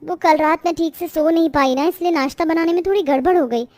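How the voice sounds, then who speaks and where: A woman's voice: extremely high pitch, fast, darkish tone, no echo. A woman playing an irritable, complaining young daughter-in-law in a moral-story cartoon, with a scolding, exasperated manner. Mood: weary, apologetic.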